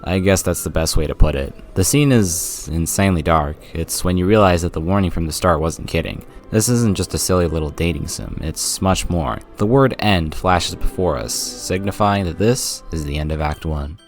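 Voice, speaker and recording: A man's voice: low-pitched, moderate pace, hardly any pauses, soft background music. A man narrating a scripted, jokey video game review with sarcastic, playful asides.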